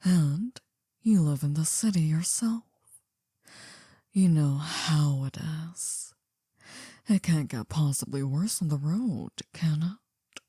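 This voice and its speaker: A woman's voice: low-pitched, husky, no room sound, clean studio sound, full character voice. A woman playing a possessive older female mafia boss in an intimate ASMR roleplay, whispering breathily to the listener, coaxing yet menacing. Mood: wistful, confiding. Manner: slow, hushed, deliberate. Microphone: close dry microphone.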